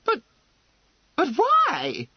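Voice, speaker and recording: A man's voice: sits high, huge pitch swings, a little slow, dry recording, dull audio, pitched above the performer's own voice, full character voice. A man voicing an insistent, managing middle-aged society mother in a storybook reading. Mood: incredulous.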